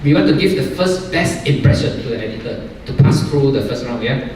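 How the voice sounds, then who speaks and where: A man's voice: mid-range voice, lively intonation, fairly quick, darkish tone. A man from Malaysia coaching an audience on publishing, in English that is not his first language, peppering his lines with "okay" and "you know". He sounds far from the mic in a very echoey university hall. A faint hiss sits under his voice. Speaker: workshop speaker, journal editor. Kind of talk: academic workshop talk.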